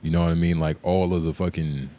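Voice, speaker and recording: A man's voice: deep voice, steady tone, fast, warm tone. A man bantering casually as a podcast panelist, slangy and given to exclaiming when challenged.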